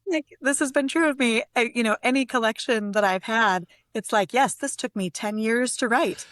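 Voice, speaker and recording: A woman's voice: on the high side, moderate pace, a bit bright, no echo. A woman speaking casually as a poet guest in an interview, reflective and tentative, with a self-amused way of talking.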